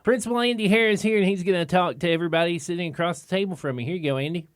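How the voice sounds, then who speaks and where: A man's voice: high-pitched, rapid-fire, smooth, no echo. A man hosting a radio show, talking in an easygoing, plain-spoken way in a studio. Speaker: radio host. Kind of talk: casual radio talk.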